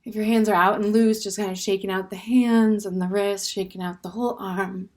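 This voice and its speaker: Gentle woman guiding a meditation. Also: medium pitch, steady tone. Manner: gentle, unhurried, permissive phrasing with pauses. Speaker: meditation teacher. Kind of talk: guided standing meditation.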